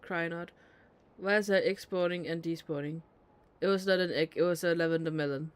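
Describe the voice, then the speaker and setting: A man's voice: a little high, steady tone, brisk, clear, resonant tone. A man streaming a game with casual, teasing, self-deprecating commentary and some swearing. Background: game music.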